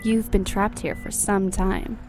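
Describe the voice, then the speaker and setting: A woman's voice: mid-range voice, little pitch movement, a little slow, music in the background, lightly acted. A woman playing a sardonic dead wife in a nightmare, speaking cryptically and mockingly in an audio drama. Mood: urgent, cryptic.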